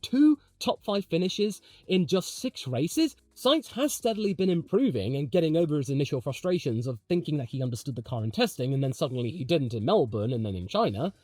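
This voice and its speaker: A man's voice: on the high side, sing-song, fairly quick, hardly any pauses, darkish tone, no room sound. A man delivering opinionated motorsport video commentary in an emphatic, conversational way. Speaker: motorsport commentator, channel host.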